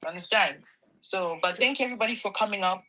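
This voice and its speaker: A woman's voice: medium pitch, quick pace, sharp tone, low-quality recording. A Nigerian woman hosting a live audio discussion, speaking in an earnest, pleading way. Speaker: host.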